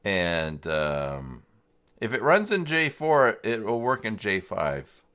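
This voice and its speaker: A man hosting a casual, unscripted livestream tutorial, talking haltingly as he thinks aloud through each step. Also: on the low side, wildly swooping pitch, a bit bright. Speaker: livestream host and web tutorial presenter.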